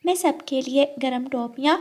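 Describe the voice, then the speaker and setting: A woman playing a kindly, caring mother sparrow in a children's cartoon, gently organising and instructing. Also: sits high, moderate pace. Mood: eager, friendly.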